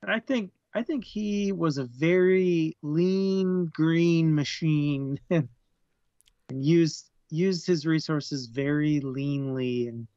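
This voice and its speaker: Male book club co-host; thoughtful, halting delivery, lo-fi dry recording. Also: on the high side, mellow, smooth, no room sound. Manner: thoughtful, halting, repeats words while searching. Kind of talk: casual book discussion. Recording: dull, lo-fi dry mic.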